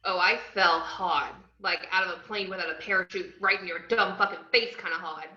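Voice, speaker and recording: A woman playing a brash, bubbly, unhinged young antiheroine, narrating her own story with cheeky, expressive delivery in a table read. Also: fairly low pitch, normal speed. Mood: lovestruck. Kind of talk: first-person scripted narration in an amateur table read. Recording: roomy, muffled online call audio.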